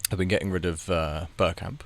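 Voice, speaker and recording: A man with a low voice and a gravelly edge talks through a game as he plays it. He rambles and mutters his reactions out loud, often stopping to restart a sentence. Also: steady tone, fairly quick, a little hiss or hum. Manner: thinks aloud, muttering reactions to the screen. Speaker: gaming video creator. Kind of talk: casual commentary while playing a game.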